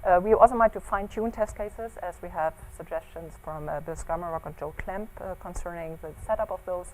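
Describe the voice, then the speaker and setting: A woman researcher presenting a scientific talk with slides in a seminar room, often pausing on ums and breaking up her phrases. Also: lowish voice, poor audio. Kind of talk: semi-scripted scientific conference talk with slides. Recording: clear background noise in seminar room.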